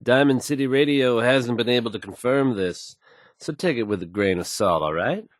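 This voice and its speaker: A man acting a confident, smooth-talking, folksy old-time radio DJ for a video game. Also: mid-range voice, animated, moderate pace, no room sound, studio quality, close to an everyday voice. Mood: measured, assured. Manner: assured, folksy, showman-like.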